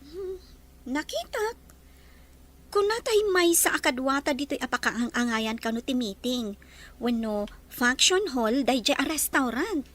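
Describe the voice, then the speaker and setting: A woman's voice: high voice, animated, measured pace, fairly bright tone, full character voice. A woman acting a modest, bashful young hotel cleaner in an Ilocano audio drama. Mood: chatty.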